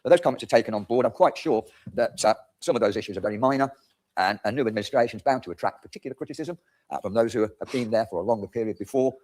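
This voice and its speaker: A man's voice: medium pitch, rapid-fire, a little mellow. A middle-aged man speaking formally as a councillor summing up in a meeting.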